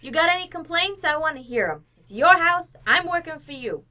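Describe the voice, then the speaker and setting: A woman's voice: high-pitched, expressive, brisk, bright, forceful tone. A woman playing a breezy, blunt, slangy housekeeper who loves betting, in an old-time radio comedy. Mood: cheerfully blunt. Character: brash, uninhibited, horse-betting housekeeper, woman.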